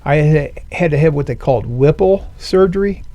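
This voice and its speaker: An older man speaking as an interview guest, telling his own story in a plainspoken, rambling way full of fillers, his voice high and strained since surgery. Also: lively intonation, moderate pace, mellow, dry recording, dull audio. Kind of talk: conversational personal story. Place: veteran center studio.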